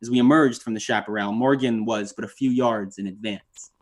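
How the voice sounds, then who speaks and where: An actor playing a proud, defensive young writer giving formal, precise testimony in an old-time radio drama. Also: male voice, lowish voice, steady tone, a little fast, close to an everyday voice. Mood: grave, narrating. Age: young adult.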